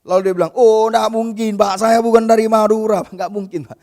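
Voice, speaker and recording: A man's voice: sits high, steady tone, fairly quick, a little mellow. A male pastor preaching a sermon in a conversational way, often calling his listeners "brother" and acting out the people in the story. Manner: addresses listeners as "saudara", retells scripture with quoted voices; conversational and informal, with casual asides.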